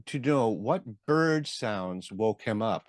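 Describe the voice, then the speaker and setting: Lively-pitched man hosting interviews. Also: slow, dry recording. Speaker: interview show host. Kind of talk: conversational interviewing and presenting.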